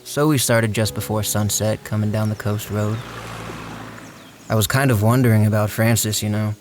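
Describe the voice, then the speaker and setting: A man's voice: on the low side, fairly even intonation, moderate pace, lightly acted. An actor playing a big, plainspoken, laconic driver telling his story in an audio drama. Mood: matter-of-fact. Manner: laconic, matter-of-fact, colloquial. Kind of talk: audio drama first-person narration.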